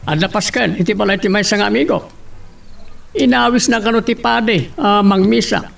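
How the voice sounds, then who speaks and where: A man's voice: high-pitched, normal speed, gravelly, a bit bright, full character voice. A man playing a genteel, sociable parent from a well-off family in a radio drama. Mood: chatty, admonishing. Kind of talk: Ilocano radio drama acting. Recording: slightly distant microphone.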